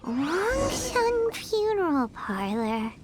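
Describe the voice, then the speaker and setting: A woman voicing a tiny childlike fairy companion in a video game, skeptical and easily startled, with a squeaky voice. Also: sing-song, drawn-out words, very noisy, full character voice. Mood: puzzled.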